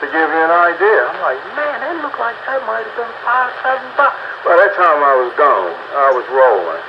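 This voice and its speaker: A man's voice: on the high side, huge pitch swings, normal speed. A man chatting casually over two-way radio in a folksy, colloquial way. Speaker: amateur radio operator in a group chat. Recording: lo-fi radio transmission with loud static.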